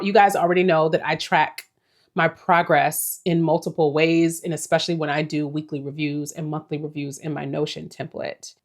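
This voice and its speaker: A woman in her forties giving a casual, rambling life update to her viewers, reflective and talking to them directly. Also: fairly low pitch. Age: 40s. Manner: reflective, rambling, with small laughs. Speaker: vlogger.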